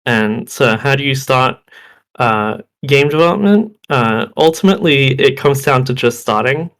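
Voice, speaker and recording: Hesitant young man, a bit hoarse. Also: medium pitch, lively intonation, a little slow, fairly bright tone. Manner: hesitant, many ums and restarts. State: hoarse, losing his voice. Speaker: game developer panelist. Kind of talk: presentation talk.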